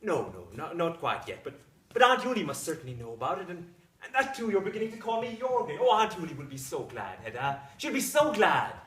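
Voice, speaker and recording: A man's voice: high voice, very animated, fast, fairly bright tone. A man playing a naive, fretful young scholarly husband in a stage drama, stammering and often ending remarks with "eh?". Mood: delighted, giddy. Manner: stammering, flustered, ends remarks with "eh?". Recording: roomy echo, distant microphone.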